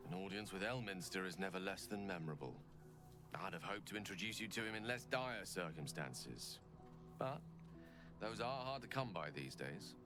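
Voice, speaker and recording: Wistful, deep-voiced wizard. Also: male voice, lively intonation. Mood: wry, heavy-hearted. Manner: eloquent, reflective, measured phrasing. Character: refined, wistful, doomed male wizard. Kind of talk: video game dramatic acting. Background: music.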